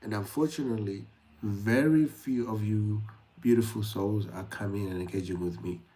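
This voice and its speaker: Man, from Kenya, young adult, slightly low voice, casual livestream host, talks straight to viewers. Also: normal speed, dark tone, rough recording. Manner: chatty, addresses viewers directly, frequent "uh" fillers.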